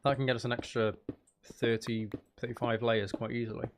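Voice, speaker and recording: A man's voice: mid-range voice, level tone, fairly quick, creaky, background noise. A man chatting casually and thinking aloud as he hosts a live game stream, talking to his viewers.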